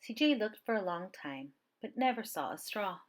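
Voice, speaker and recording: A woman reading a children's story aloud in an expressive narrating style. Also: mid-range voice, normal speed, slight background noise. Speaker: storybook reader.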